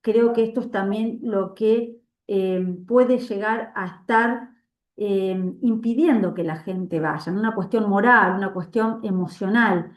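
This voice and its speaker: A woman moderating an academic seminar on the rights of older people, explaining her points in a measured way. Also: mid-range voice. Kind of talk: academic discussion. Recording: echoey room on a video call.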